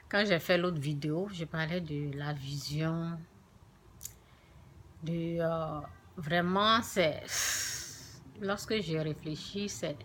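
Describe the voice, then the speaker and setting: Female religious vlogger, francophone African accent. Bright, forceful voice, unhurried delivery, emphatic repetition. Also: sits low, slight background noise. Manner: emphatic, repeats phrases for stress. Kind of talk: informal spiritual talk to viewers.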